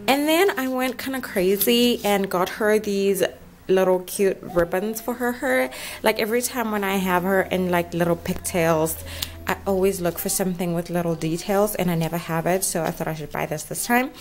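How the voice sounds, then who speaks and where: A woman's voice: medium pitch, normal speed. A young South African woman chatting informally in a casual home vlog, with lots of conversational asides. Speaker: family vlogger, mother. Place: indoors at home, kitchen and table. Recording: clearly noisy home recording with clicks.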